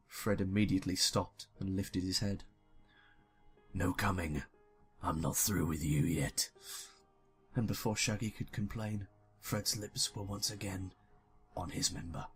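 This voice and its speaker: A young man reading a story aloud and talking casually as a comic YouTuber. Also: lowish voice. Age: young adult. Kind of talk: scripted story narration and casual comic commentary.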